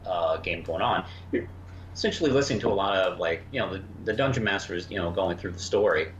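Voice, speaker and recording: Man, lowish pitch, halting casual discussion, roomy echo, background noise. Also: animated, dull audio. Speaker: podcast co-host.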